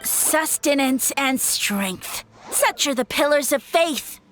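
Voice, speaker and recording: Pompous high-pitched little mushroom creature. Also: female voice, lively intonation, takes it slowly, a bit bright, faint music behind, heavily acted. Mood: pompous, persuasive. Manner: pompous, theatrical, taunting. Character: smug boastful little male mushroom creature, self-styled ruler. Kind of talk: video game voice acting.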